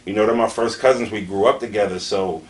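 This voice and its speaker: A man's voice: on the low side, fairly even intonation, fast. A man in his late twenties with a Philadelphia accent, talking candidly in an interview in an emphatic, repetitive way full of slang and swearing. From Southeastern Pennsylvania, USA. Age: late 20s. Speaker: battle rapper being interviewed. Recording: distant mic with faint background noise.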